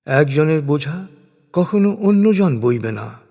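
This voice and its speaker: A man's voice: fairly high pitch, expressive, slow, warm tone. Man reading solemnly in long reverb. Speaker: narrator of a scripture translation. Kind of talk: solemn scripted religious recitation in prose. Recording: long reverberant hall-like echo.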